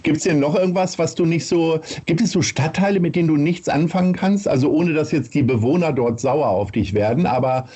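A man from Hanover hosting an interview podcast, asking rambling questions in a relaxed, casual way. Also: a little high, fairly quick, few pauses, slightly rough. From Hanover, Germany. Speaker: podcast host.

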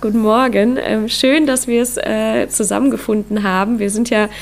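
A woman hosting a podcast, reading an intro and then chatting with a guest, her voice hoarse from a cold. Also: fairly high pitch, fairly even intonation, moderate pace. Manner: upbeat, with many fillers. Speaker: podcast host. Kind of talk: interview podcast, scripted intro then chat.

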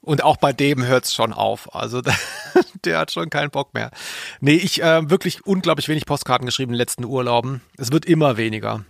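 A man's voice: medium pitch, fairly quick, creaky, dry recording, studio quality. A man co-hosting a podcast in a casual, conversational style. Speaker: podcast co-host.

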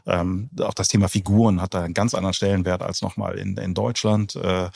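Male founder being interviewed, halting and casual, with a husky, fry-laden voice. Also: deep voice, little pitch movement, darkish tone. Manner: hesitant, searching for words. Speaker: company co-founder, podcast guest.

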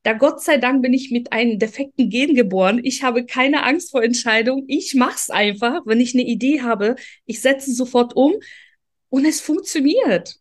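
A woman's voice: fairly high pitch, animated, moderate pace. A woman from Ukraine, hosting an interview and talking in an earnest, motivational, direct way. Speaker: host. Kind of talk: interview conversation.

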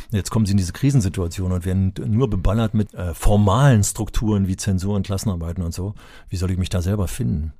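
A man's voice: sits low, dark tone, no room sound, studio quality. An older man from Berlin co-hosting a podcast, talking emphatically and getting heated at times. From Germany (Berlin). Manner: impassioned, emphatic when angered, rhetorical. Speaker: podcast co-host, education advocate. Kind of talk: conversational podcast discussion.

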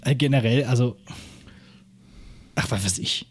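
A man's voice: mid-range voice, a little slow, slightly rough. A man co-hosting a podcast, chatting casually and rambling with many fillers and restarts. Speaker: podcast co-host. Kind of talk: casual chat. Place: holiday flat.

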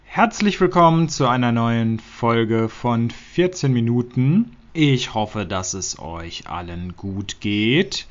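A man from Germany, hosting a podcast and explaining slowly and clearly for learners. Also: mid-range voice, lively intonation, muffled recording. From northern Germany. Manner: slow, clear, deliberate speech for learners. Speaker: podcast host.

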